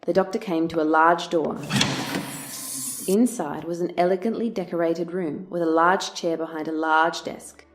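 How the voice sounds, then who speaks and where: A woman reading scripted story narration for an audio drama. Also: on the low side. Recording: music under the voice.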